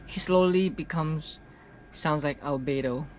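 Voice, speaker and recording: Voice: medium pitch, measured pace, a little hiss or hum. A streamer giving casual game reaction commentary in short interjections, often echoing phrases. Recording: game music behind.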